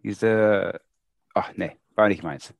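A man's voice: fairly low pitch, takes it slowly, vocal fry, no echo. A man co-hosting a podcast, chatting casually in short, blunt answers. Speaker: podcast co-host.

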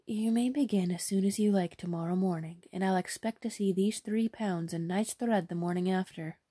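A woman voicing a brisk older queen who speaks loudly and emphatically about work. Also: mid-range voice, husky, no room sound, close to an everyday voice. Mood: commanding.

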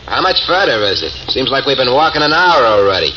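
A ruthless, greedy gang boss who makes menacing threats in an old radio crime drama, as a man plays him. He sounds a little set back from the mic, and the old recording is dull with clear hiss behind it. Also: medium pitch, very animated, brisk, recorded from a distance, natural voice. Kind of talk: 1940s radio acting.

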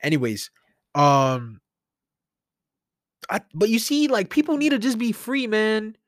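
A man's voice: high-pitched, big pitch swings, unhurried, no room sound, studio quality. A man hosting a solo podcast alone in his room, thinking aloud in a rambling, conversational way into a mic with headphones on. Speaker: podcast host. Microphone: studio mic with headphones.

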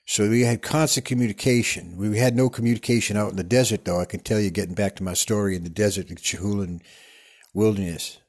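A man's voice: fairly low pitch, fairly even intonation, fast talker, darkish tone. A man playing a rambling older Western TV actor who speaks with a Texan accent and drifts off into digressions, stumbling and repeating his words. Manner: meandering, digressive storytelling with many stumbles. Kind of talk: improvised comedic character monologue. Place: studio.

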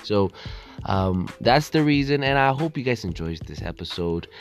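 A man's voice: medium pitch, expressive, moderate pace, soft background music. A young man from Ethiopia hosting his own podcast, talking casually and rambling with many fillers and restarts. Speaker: podcast host. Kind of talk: solo casual podcast monologue.

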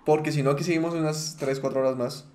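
A man's voice: a little high, steady tone, fast, darkish tone. A man hosting a casual podcast chat in who leads the talk and laughs often. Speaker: podcast host. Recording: echoey room.

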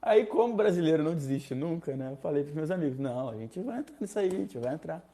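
A young man from Rio de Janeiro with a Carioca accent, casually telling a personal story, animated and slangy, acting out other people's lines. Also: fairly high pitch, fast talker. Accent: Carioca Brazilian Portuguese. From Rio de Janeiro, Brazil.

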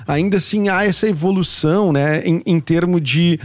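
A man's voice: high-pitched, normal speed, no room sound. A man hosting a podcast, asking questions hesitantly with many restarts. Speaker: podcast host. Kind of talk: interview questions.

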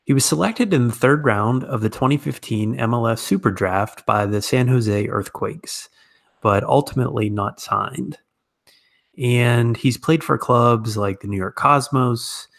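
A man's voice: lowish voice, creaky. A man with an American accent, speaking as a podcast host and interviewer. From United States. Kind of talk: podcast introduction and conversational interview.

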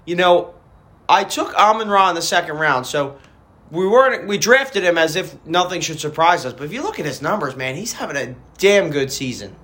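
A man hosting a casual fantasy football podcast, his voice raspy from a weekend party, rambling with frequent restarts. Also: fairly high pitch, lively intonation, normal speed, sharp tone. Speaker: podcast host.

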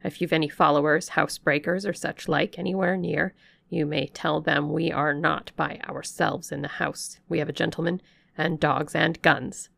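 A woman voicing a gruff old servant with a burr. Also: low voice, little pitch movement, normal speed, a bit bright, no room sound, clean studio sound, full character voice. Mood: suspicious, stern. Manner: gruff, distrustful, plain-spoken. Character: blunt suspicious old female servant.

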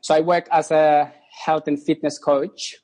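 A young man from East Timor, a guest on an interview, speaking as an in halting phrases with many restarts. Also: fairly high pitch, level tone, darkish tone. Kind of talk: casual interview.

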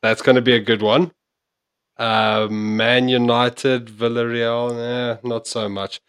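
Casting: relaxed male host reading out football fixtures. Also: medium pitch, takes it slowly, clean tone. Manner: runs through fixtures, easygoing. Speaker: podcast host. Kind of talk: casual football chat.

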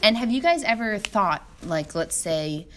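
A woman's voice: medium pitch, animated, a little slow, a bit bright, slight background noise. A woman co-hosting a podcast in casual, rambling chat, laughing often. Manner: chatty, giggly, rambling. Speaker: podcast co-host.

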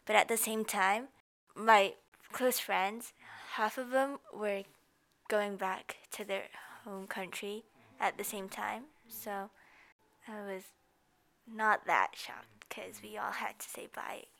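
A woman's voice: mid-range voice, steady tone, slow, gravelly, a bit bright. A woman whose first language is Japanese, chatting casually in English as a podcast guest, laughing often and using loose fillers. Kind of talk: casual chat.

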